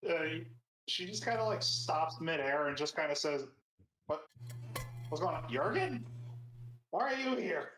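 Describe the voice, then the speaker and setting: A woman's voice: deep voice, animated, a little slow, long pauses, fairly bright tone, faint music behind. A woman speaking casually as a player in a tabletop roleplaying game, describing her character's actions. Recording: echoey, reverberant room.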